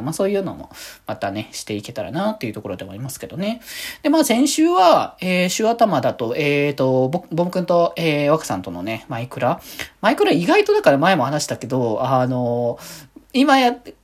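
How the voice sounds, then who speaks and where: A woman hosting a solo show in casual, diary-style chat, with an animated, rambling, giggly way of talking. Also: deep voice, breathy edge. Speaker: solo podcast host and streamer.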